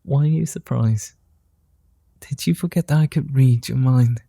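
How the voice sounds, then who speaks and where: A man voicing a gentle, flirtatious immortal vampire in an ASMR roleplay, speaking softly, slowly and teasingly. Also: medium pitch, gravelly, no room sound, studio quality. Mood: tender. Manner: soft, slow, flirty and teasing.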